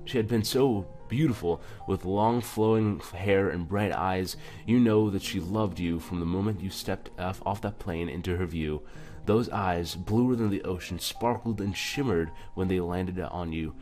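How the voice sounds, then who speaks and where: A man with a lowish voice hosts a casual let's play. He comments informally and stumbles a little when reading aloud. Faint music sits behind him. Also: unhurried, hardly any pauses, darkish tone. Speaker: gaming video host.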